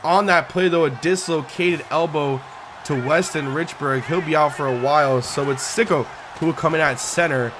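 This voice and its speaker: Excitable young man calling game plays. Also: normal speed, noisy background. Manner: excitable, pitch climbing on big plays, yard-line countdowns. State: losing his voice. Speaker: gaming YouTuber doing play-by-play. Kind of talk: sports-style play-by-play commentary over a video game.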